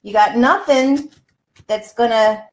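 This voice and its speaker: High-voiced older woman, echoey room. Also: takes it slowly. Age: older, around 60s. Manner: chatty, exclamatory, addressing viewers directly. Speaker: health coach and cooking show host. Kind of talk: casual live cooking demonstration. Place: home kitchen, indoors. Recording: roomy, echoey home kitchen sound.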